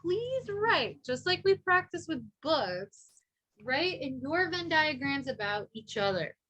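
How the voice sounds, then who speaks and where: A woman teaching an adult English class in a high, bright voice. She speaks slowly and patiently and praises her learners often. She spells out each idea so they can follow. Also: sing-song. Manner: patient, encouraging, models words slowly.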